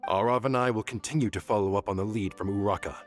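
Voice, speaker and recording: A man's voice: fairly low pitch, fast, lightly acted. A man playing a courteous, authoritative leader in a video game, formal and polite, with music behind. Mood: grateful. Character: courteous, authoritative male leader giving orders.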